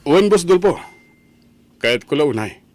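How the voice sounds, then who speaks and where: A man plays an earnest, restless son hungry for work. His pitch leaps dramatically through each line. He speaks eagerly and emphatically, as in radio drama. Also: very animated, a little slow, a little mellow. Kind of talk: Ilocano radio-style drama acting.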